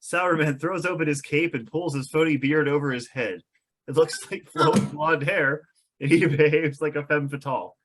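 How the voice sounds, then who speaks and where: A man's voice: a little high, normal speed, a bit bright, slight background noise. A man reading stage directions as narrator at a table read, descriptive and drily amused.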